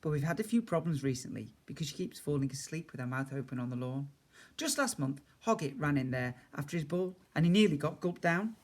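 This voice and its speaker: A man's voice: on the high side, fairly quick, darkish tone. A man reading a children's story aloud expressively, as a teacher does for a young class.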